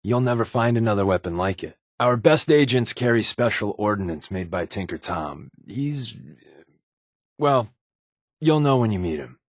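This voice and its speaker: A secretive spy companion from a video game, glib and irreverent. His voice sits a little low and is recorded close and dry. He talks in a sardonic, offhand way, like someone used to working undercover. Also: animated, normal speed, no echo. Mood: matter-of-fact. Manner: sardonic, offhand, conversational delivery.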